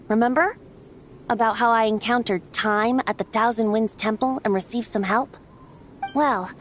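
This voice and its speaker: A woman voicing a boyish wind god bard in a video game, speaking in a lyrical, theatrical, gently teasing storyteller's manner, playful yet wise. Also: on the high side. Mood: playful, breezy. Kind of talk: video game character dialogue.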